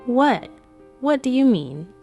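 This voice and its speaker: A woman's voice: high-pitched, sing-song, slow, a little mellow, lo-fi sound, exaggerated voice. A woman voicing a heartbroken young office worker who narrates her breakup in a romance comic dub. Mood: hurt, pleading. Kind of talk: scripted first-person comic dub narration. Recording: music behind the voice.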